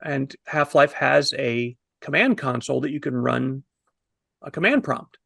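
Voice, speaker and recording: A man in his fifties telling a story in a casual, animated review on a video call, wordy and posing himself questions. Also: medium pitch, measured pace, creaky, dry recording, studio quality. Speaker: film review panelist.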